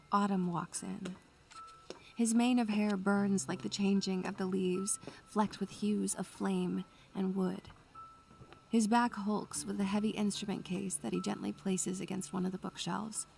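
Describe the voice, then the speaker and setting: Woman doing bookish anxious young student girl narrating a gothic audio drama, bit of vocal fry. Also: mid-range voice, darkish tone. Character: bookish, anxious nineteen-year-old female student narrating her memories. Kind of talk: atmospheric first-person scripted narration.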